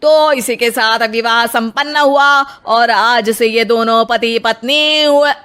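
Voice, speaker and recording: A woman voicing a solemn wedding priest in an animated moral story, speaking ceremoniously. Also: on the high side, takes it slowly, clear, resonant tone.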